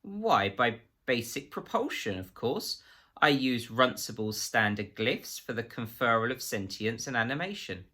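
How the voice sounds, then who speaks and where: Man putting on an oily, nervous bluffing tradesman, bright-edged. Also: mid-range voice, sing-song, unhurried, heavily acted. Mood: nervous bluster. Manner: blustering, faltering, stammering when pressed.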